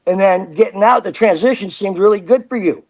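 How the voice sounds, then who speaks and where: A man's voice: sits high, fairly quick, a little mellow, low-quality recording. A man hosting a podcast interview, talking bluntly and casually with slang and easy laughs. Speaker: podcast host.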